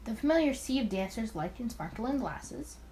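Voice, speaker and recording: Expressive woman reading aloud, swooping pitch. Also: normal speed, a little hiss or hum. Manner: expressive, often stumbling and rereading. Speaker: let's player. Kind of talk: reading a visual novel aloud with commentary.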